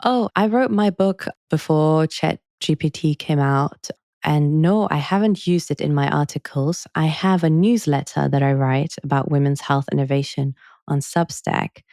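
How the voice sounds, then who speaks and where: A woman writer answering interview questions in an articulate, measured way, turning wry when she tells anecdotes. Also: low-pitched, creaky, a little mellow, dry recording, clean studio sound. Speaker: author and journalist guest.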